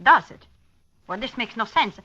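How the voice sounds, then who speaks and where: A woman's voice: a little high, lively intonation, moderate pace, sharp tone, no room sound. An actress playing a doubtful, uneasy woman in a 1950s radio drama. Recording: dull vintage 1950s radio recording.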